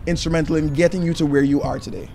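A young man interviewing a guest, asking questions and encouraging her with steady backchannels. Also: fairly high pitch, very fast, creaky, slight background noise. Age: young adult. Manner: encouraging, with many "mm-hmm" backchannels. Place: outdoor terrace by a balustrade. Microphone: handheld mic.

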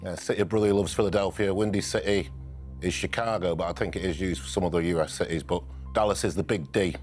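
Very deep-voiced quiz player. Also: male voice, a little mellow, faint music behind. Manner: quick, matter-of-fact reasoning. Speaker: quiz team member. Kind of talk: thinking aloud on a quiz answer. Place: TV studio indoors.

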